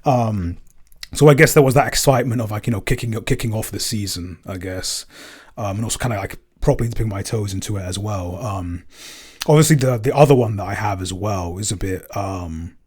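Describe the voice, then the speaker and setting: Hesitant man with a lowish voice. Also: darkish tone, breathy edge. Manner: hesitant, halting, stammers over word starts. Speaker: podcast writer and co-creator answering listener questions. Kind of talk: casual Q&A chat.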